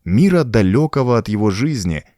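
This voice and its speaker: A man narrating a horror story as an audiobook, in an expressive, suspenseful storytelling manner. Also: lowish voice, normal speed, no echo, clean studio sound.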